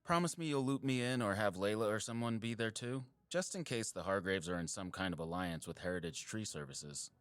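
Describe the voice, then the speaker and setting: An actor playing an earnest, caring male wildlife surveyor in an audio drama, casual, sincere and expressive. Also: on the low side, a little fast, hardly any pauses, clear, resonant tone, no room sound, lightly acted. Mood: concerned, curious. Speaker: habitat surveyor.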